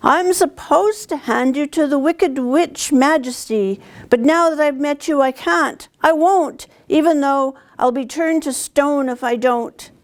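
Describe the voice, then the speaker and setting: A polite male faun from a storybook, acted out by a woman reader. The voice is lifted in pitch. He is formal and questioning, with an anxious, guilty conscience. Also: unhurried, few pauses. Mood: anguished.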